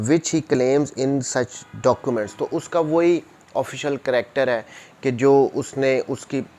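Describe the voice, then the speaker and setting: A man's voice: mid-range voice, darkish tone. A man with a Pakistani accent lecturing on law, reading out formal text and explaining it point by point with repetition. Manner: mixes English legal terms into explanations, repeats points for clarity.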